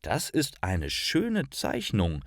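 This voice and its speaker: A man voicing a kind, soft-spoken gallery owner. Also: fairly low pitch, huge pitch swings, slow, dry recording, clean studio sound, heavily acted. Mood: gentle.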